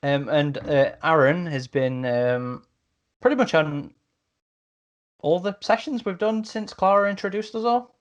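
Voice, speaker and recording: A man hosting a casual podcast chat, with a halting, rambling delivery. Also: a little high, muffled recording. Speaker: podcast host.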